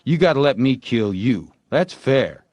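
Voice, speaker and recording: A man's voice: mid-range voice, very animated, a little slow, a little mellow, dry recording, pitched above the performer's own voice. A man voicing a defiant, stubborn young boy in a storybook, theatrically playing a haughty knight. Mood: sulky, stubborn. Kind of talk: acted storybook dialogue.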